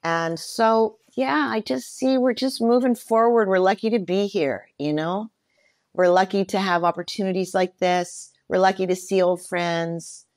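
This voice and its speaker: A woman's voice: mid-range voice, measured pace, no echo, clean studio sound. A middle-aged woman speaking earnestly and reflectively in a casual interview, often affirming what she hears. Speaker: podcast guest, performer and mentor.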